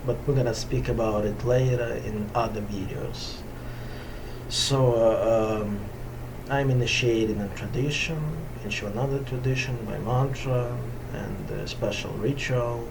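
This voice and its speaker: A middle-aged man with a Russian accent talks casually to camera as a yoga teacher, meditative and halting as he searches for words. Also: mid-range voice, fairly even intonation. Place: small indoor room at home ashram. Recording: distant mic, clearly noisy room sound.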